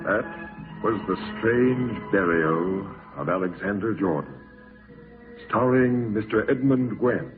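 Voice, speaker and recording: An actor playing a mysterious master of mystery, hosting a 1940s radio horror show in a grave, theatrical manner on a tinny recording. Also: male voice, lowish voice, lively intonation, takes it slowly, faint music behind. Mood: grave, ominous. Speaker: host of horror anthology.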